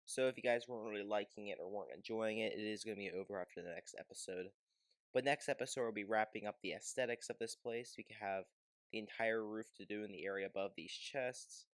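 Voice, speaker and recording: Low-voiced man, casual gaming commentary. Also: fast, dry recording. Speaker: gaming video host. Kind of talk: casual game video commentary.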